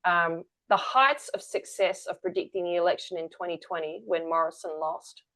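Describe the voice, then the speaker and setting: A woman's voice: fairly low pitch, steady tone, normal speed, fairly bright tone. Candid woman telling her story. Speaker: guest, energy-work founder. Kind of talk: conversational interview.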